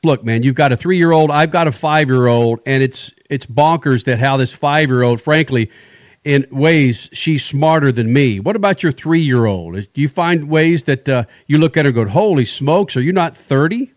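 A man's voice: a little high, lively intonation, normal speed, no echo. A man in his thirties co-hosting, chatty and playful, joking about his own child. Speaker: co-host.

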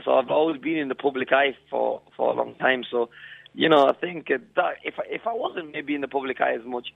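A man in his twenties, a professional boxer, answering interview questions over the phone in a halting way, often saying "you know" and "I mean.". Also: medium pitch, vocal fry, no room sound. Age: 20s. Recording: tinny dry phone line.

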